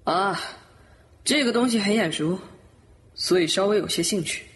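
Voice actor as a smug death god lord, sly and mocking, mid-pitched, teasing the listener, radio-drama scene with music underneath. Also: normal speed, a bit bright, close to an everyday voice. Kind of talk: Chinese audio drama acting.

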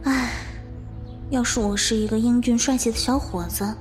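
A woman's voice: on the high side, fairly even intonation, normal speed, lightly acted. A woman playing a sweet, needy young girl in an audio drama, in a cute, plaintive manner. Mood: pouty, wistful. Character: sweet, needy young girl devoted to her female companion. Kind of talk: Chinese audio drama acting. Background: music.

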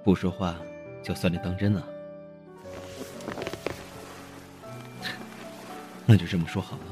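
A cool young boyfriend with a low voice, acted by a man in a romantic audio drama. He is terse and understated yet gently caring, with music playing clearly behind him. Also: little pitch movement, fast talker, lightly acted. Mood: cool, coaxing.

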